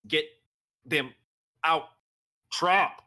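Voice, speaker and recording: A man's voice: a little high, very slow, pushed and bright, pitched above the performer's own voice, full character voice. A young man playing a grim, vengeful masked ninja hero in a story reading, with terse, intense delivery. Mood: urgent, commanding.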